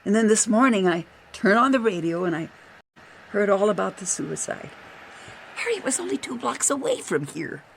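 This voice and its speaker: An actress playing an earnest, easily shaken detective agency secretary with an American accent in a radio drama. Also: female voice, mid-range voice, very animated, normal speed, vocal fry, slight background noise. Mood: hesitant.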